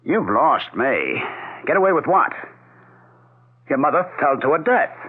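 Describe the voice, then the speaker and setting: Defensive, condescending husband, 1970s radio drama, rough husky voice, thin phone-like sound. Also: male voice, a little high, big pitch swings, measured pace, heavily acted. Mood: defensive, indignant. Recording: muffled phone-like old radio broadcast.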